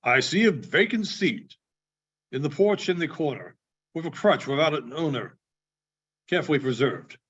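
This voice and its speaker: A man voicing a solemn spirit from a storybook, grave and measured as it pronounces a fate. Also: on the high side, lively intonation, a bit bright, a little hiss or hum. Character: solemn ghostly spirit foretelling a child's fate. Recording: dull, muffled lo-fi recording.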